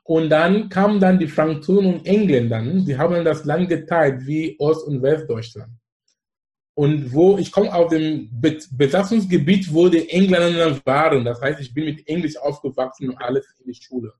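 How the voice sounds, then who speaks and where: A man's voice: fairly high pitch, normal speed, a little mellow. A man in his forties from Cameroon with a Cameroonian accent, speaking as an interview guest with emphatic, repetitive enthusiasm. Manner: effusive, emphatic, fond of repeating phrases. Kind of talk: conversational interview.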